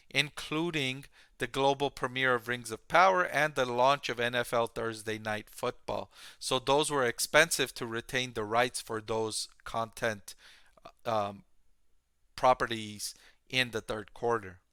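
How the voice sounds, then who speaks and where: Bright, clear-voiced man explaining finance. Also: mid-range voice, no room sound, studio quality. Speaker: host, stock analyst. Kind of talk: explanatory financial commentary to viewers.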